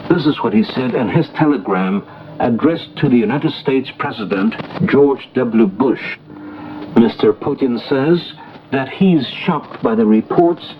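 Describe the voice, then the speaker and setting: Muffled shortwave recording, faint noise, male radio newsreader, formal scripted bulletin, measured deliberate phrasing. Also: mid-range voice, animated. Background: shortwave static and noise.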